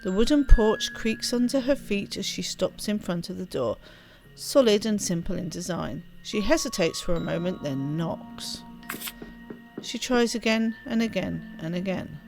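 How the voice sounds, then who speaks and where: Female let's play host, mid-range voice, expressive narrative reading, casual asides, faint music underneath. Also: medium pitch, a little slow, vocal fry. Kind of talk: reading game narration aloud.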